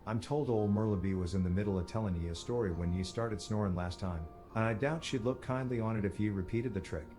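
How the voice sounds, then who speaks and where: A man plays a folksy male sailor in a game, fairly low in pitch. His talk is colloquial and teasing, with music behind it. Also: fast, dark tone, natural voice. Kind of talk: scripted video game dialogue.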